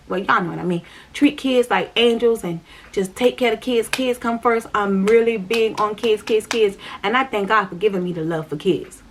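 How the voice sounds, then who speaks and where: A woman's voice: mid-range voice, fairly bright tone. Chatty woman telling her story. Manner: chatty, repetitive, addresses viewers directly. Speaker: vlogger. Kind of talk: personal storytelling vlog.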